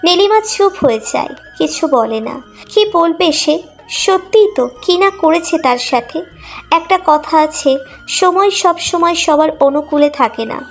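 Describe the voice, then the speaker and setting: A woman's voice: extremely high pitch, expressive, a little slow, soft background music. A woman reading a scripted emotional romantic story as its narrator.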